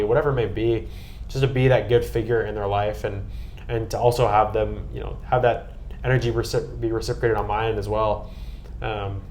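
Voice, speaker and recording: A man's voice: lowish voice, a little mellow, slight background noise. An American man chatting casually and earnestly on a podcast, with an enthusiastic manner. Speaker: podcast guest and co-host recapping a trip.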